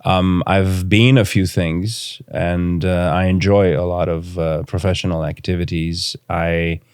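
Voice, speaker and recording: Deep smooth voiced guy from romania, native romanian speaker, thoughtful podcast guest, halting, close dry sound. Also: level tone, a little mellow, no echo. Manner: thoughtful, halting, pauses to choose words. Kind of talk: reflective interview conversation.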